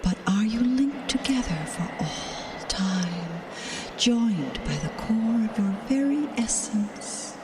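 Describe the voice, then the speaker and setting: An actress playing a weary, stern female wind spirit in an audio drama, speaking in terse, breathy commands that often fall to a whisper. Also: fairly high pitch, expressive, slow pace. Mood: brooding, proud. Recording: distant voice with clear background noise.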